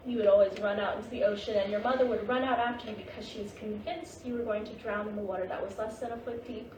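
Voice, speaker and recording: A woman playing the gentle, wise ghost of a Japanese grandmother in a staged play reading, patient and reassuring. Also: medium pitch, steady tone, lightly acted. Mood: fond, wistful. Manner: patient, reassuring, unhurried guiding. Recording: distant, noisy, echoey staged reading.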